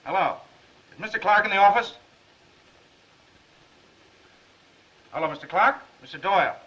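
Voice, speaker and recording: An American actor playing a cheerful, fast-talking young newspaper reporter who bluffs with glib, eager patter in a 1930s film. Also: male voice, high-pitched, sharp tone. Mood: breezy, bluffing. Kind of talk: 1930s film comedy-drama acting. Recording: muffled, band-limited 1930s film soundtrack.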